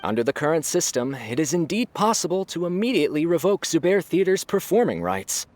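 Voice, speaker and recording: A man voicing an impartial arbiter who rules on a debate in a measured, formal way. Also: a little high, big pitch swings, a little mellow, slight background noise. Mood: neutral. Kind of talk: game voice acting.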